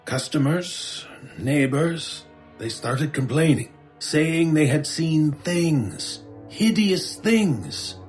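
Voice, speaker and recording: An actor playing a frightened, haunted shopkeeper in a radio horror drama, halting and stammering in distress. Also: male voice, on the high side, expressive, faint music behind. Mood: troubled, haunted. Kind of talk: old-time radio horror drama acting.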